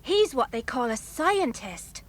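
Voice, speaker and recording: Brief: plucky fairground child, very high-pitched, urgent and insistent, children's audio drama. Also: female voice, very animated, a little slow, full character voice. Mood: urgent, pleading. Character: plucky young girl from a travelling fair, earnest.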